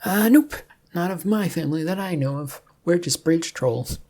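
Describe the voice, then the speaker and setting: A woman's voice: on the low side, animated, moderate pace, warm tone, voice pushed up. A woman voicing a small, timid bridge troll in a fantasy story, nervous and hesitant. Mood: puzzled.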